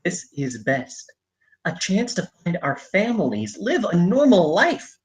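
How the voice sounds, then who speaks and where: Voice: medium pitch, big pitch swings, measured pace. A performer reading the part of a determined, stubborn young orphaned drone racer at a screenplay table read, speaking insistently. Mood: determined, defensive.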